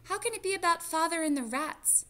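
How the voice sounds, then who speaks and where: A woman voicing an excitable, tender young girl mouse in a storybook, exclaiming. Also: brisk. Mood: excited, curious. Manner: high, exclaiming.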